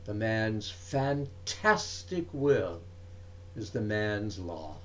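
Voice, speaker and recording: A man giving a dramatic recitation of poetry, with deliberate, emphatic phrasing and frequent pauses. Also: fairly low pitch, animated, a bit bright, a little hiss or hum. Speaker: reader of poetry.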